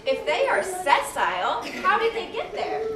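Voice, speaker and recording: A woman voicing a curious, excitable puppet who asks questions in a children's show. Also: very high voice, a little slow, slight background noise, heavily acted. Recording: echoey hall, far from mic.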